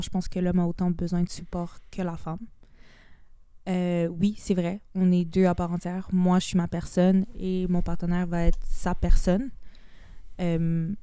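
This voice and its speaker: A woman's voice: lowish voice, warm tone, clean tone, dry recording, studio quality. A young woman with a Québécois accent, chatting as a podcast guest, mixing in English phrases and restarting her sentences. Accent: Québécois French. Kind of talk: casual podcast conversation.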